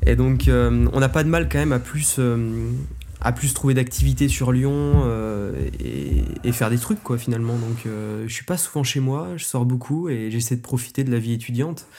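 A man's voice: mid-range voice, moderate pace, warm tone, a little hiss or hum. A man in his twenties from Auvergne, co-hosting a podcast in a loose, joking chat full of fillers. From Auvergne, France. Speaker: podcast co-host. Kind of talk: casual chat.

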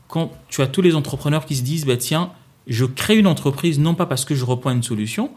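A man in his thirties, originally from Kinshasa, talking as a guest in a relaxed interview, thoughtful, often restarting and repeating words as he works out what he wants to say. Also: on the high side, fairly quick. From Congo, Kinshasa. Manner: thoughtful, frequent restarts and repetitions. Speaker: guest, banker. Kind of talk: casual interview conversation.

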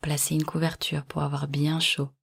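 A woman guiding a yoga nidra relaxation in calm, measured short instructions. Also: sits low, creaky, breathy edge. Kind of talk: guided relaxation meditation.

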